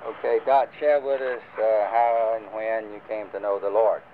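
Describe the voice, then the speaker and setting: An older man leading a church group, talking casually with many pauses and fillers. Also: fairly low pitch. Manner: rambling, many fillers and restarts. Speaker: church class leader. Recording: lo-fi phone-like recording with faint hiss.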